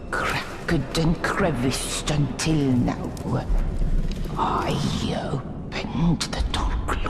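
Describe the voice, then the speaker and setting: Casting: ancient wrathful nature spirit, cryptic and incantatory, extremely slow delivery, game cutscene over music. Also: female voice, rumbling low, exaggerated voice. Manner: incantatory, drawn-out, often whispered. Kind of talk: slow solemn video game cutscene acting. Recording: loud music behind.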